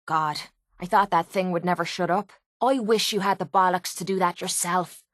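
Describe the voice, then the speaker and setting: A woman's voice: fairly low pitch, steady tone, normal speed, no room sound, studio quality, lightly acted. A woman playing a brash, foul-mouthed young Irish brawler in a video game, blunt and sardonic but vulnerable underneath, with an Irish accent. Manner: blunt, gruff, sardonic, informal. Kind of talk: video game companion voice lines.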